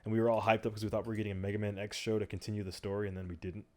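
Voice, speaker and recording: A man's voice: fairly low pitch, level tone, racing, a little mellow. A man in his thirties co-hosting a podcast in casual chat, enthusiastic and nerdy about old cartoons. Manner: enthusiastic, nerdy, hums theme songs. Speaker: podcast co-host.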